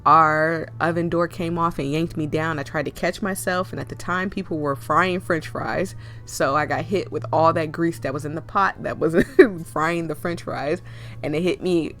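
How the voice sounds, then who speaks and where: A woman with a cold and a hoarse, fading voice, reading a visual novel aloud with chatty asides. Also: deep voice, fairly even intonation, moderate pace, fairly bright tone. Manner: chatty, laughs and coughs often, rambling asides. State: hoarse, losing her voice with a cold. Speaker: game reader and streamer.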